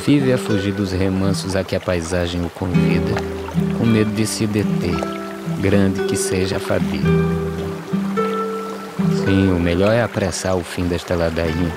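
An actor playing a weary, resigned young migrant in an animated film, reciting verse solemnly. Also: male voice, sits low. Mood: resigned determination. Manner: solemn, measured verse delivery. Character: weary young male drought migrant, prematurely aged, resigned. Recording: plucked guitar music behind the voice.

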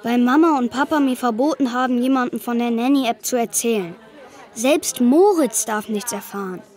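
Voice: mid-range voice, normal speed, darkish tone, lightly acted. A young performer playing an exasperated ten-year-old boy in a children's radio play, grumbling casually. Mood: fed-up confiding. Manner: casual, grumbling, youthful slang.

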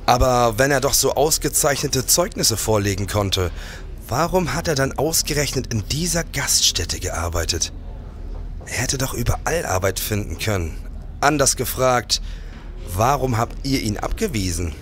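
A man's voice: medium pitch, animated, normal speed, a bit bright, a little hiss or hum, close to an everyday voice. A man playing a courteous, persistent police detective who probes politely in a historical radio play. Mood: probing.

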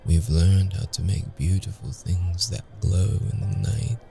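A deep-voiced, brooding male vampire, acted by a man for an ASMR roleplay, wounded yet seductive. He speaks in a dark, mellow tone with heavy gravel, keeping it intimate and guarded. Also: fairly even intonation, soft background music, full character voice. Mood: haunted, wondering. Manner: slow, halting, intimate delivery. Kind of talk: ASMR audio roleplay acting.

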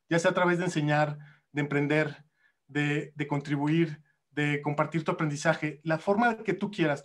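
A man in his thirties giving a rousing closing speech on a video call and challenging his audience. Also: a little high. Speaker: event host. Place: home room on a video call.

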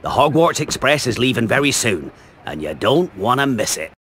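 An actor playing a huge, friendly, gruff giant in a video game. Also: male voice, medium pitch, sing-song, moderate pace, a bit bright, a little hiss or hum. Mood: kindly. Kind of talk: video game character acting.

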